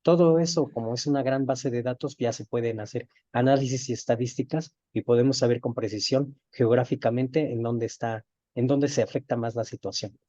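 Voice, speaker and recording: A man's voice: medium pitch, fairly even intonation, a little fast, mellow, dry recording. A man giving a presentation in an online class, hesitant with frequent filler sounds. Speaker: course participant presenting. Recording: dull, dry video-call audio.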